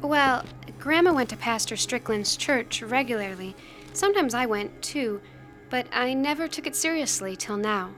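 Actress as righteous high school girl, high voice, earnest, plain and self-possessed, audio drama. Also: expressive, normal speed, a bit bright, soft background music. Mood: calm assurance.

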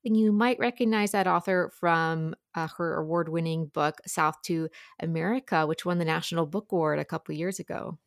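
A woman's voice: low voice, moderate pace, few pauses, no room sound, clean studio sound. A woman giving a conversational book review as a podcast guest.

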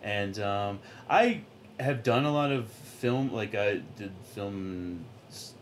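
A man with a deep voice, a sketch comedy performer chatting casually in an interview, rambling and trailing off with many fillers. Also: lively intonation, slow, slight background noise.

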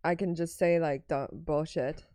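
Deadpan woman in a dry, close recording. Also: low-pitched, unhurried, no echo. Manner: deadpan, understated. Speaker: guest, actor and comedian. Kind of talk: casual interview chat.

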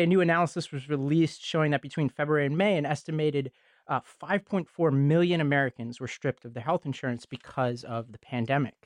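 A man's voice: mid-range voice, no room sound. Man reading the news, dry close mic. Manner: brisk, joking, then steady factual delivery. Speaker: podcast co-host.

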